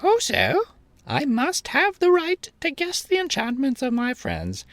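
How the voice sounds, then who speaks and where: A man voicing a proud, indignant female hen with a shrill, squawky voice, clucking and defiant. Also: huge pitch swings, unhurried, fairly bright tone, no echo, full character voice.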